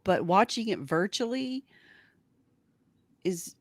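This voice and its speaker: A woman co-hosting a casual fan livestream, conversational and haltingly thinking aloud. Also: mid-range voice, animated, dry recording. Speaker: co-host of a fan show.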